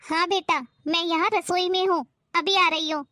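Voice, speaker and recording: A woman voicing a gentle, widowed mother who soothes and encourages her daughter in an animated drama. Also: extremely high pitch, normal speed, no echo, clean studio sound. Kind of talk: animated family drama dubbing.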